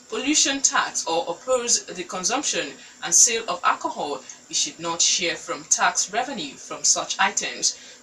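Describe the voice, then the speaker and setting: A woman's voice: fairly low pitch, pushed and bright, far from the mic, a little hiss or hum, low-quality recording. A woman with a Nigerian accent reading scripted news as a newsreader.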